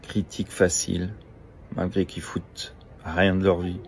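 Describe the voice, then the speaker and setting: Man from luxembourg, very low voice, slow drawn-out delivery, scornful. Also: completely flat delivery, a little hiss or hum. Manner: slow, deliberate, disdainful. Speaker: social media influencer. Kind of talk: talking-head monologue video.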